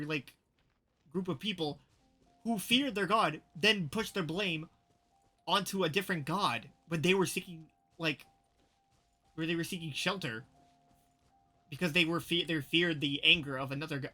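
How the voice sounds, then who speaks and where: A man with a bright, forceful, slightly high voice commentates live on a game. He is casual, blunt and exasperated, circling back over his phrases. Faint music plays underneath. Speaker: game streamer.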